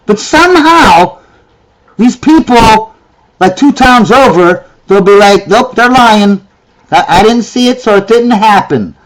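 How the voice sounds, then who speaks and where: A man's voice: high voice, expressive, measured pace, fairly bright tone, poor audio. A man giving reaction commentary in a ranting, sarcastic way, often repeating himself.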